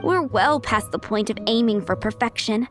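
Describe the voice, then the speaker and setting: A woman's voice: fairly high pitch, moderate pace, natural voice. An actress playing a young woman who runs a busy restaurant, earnest, flustered and businesslike, over game music. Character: young woman running a busy restaurant, harried but kind.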